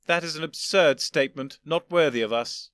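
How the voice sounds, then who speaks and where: A man doing a condescending elderly gentleman. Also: fairly high pitch, normal speed, clear, resonant tone, dry recording, pitched above the performer's own voice, natural voice. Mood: lecturing. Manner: precise, lecturing, wearily patient.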